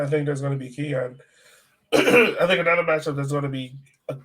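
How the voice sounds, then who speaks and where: A man's voice: mid-range voice, a bit bright. A man co-hosting a podcast in casual sports discussion, talking emphatically and repeating phrases for stress. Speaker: podcast co-host.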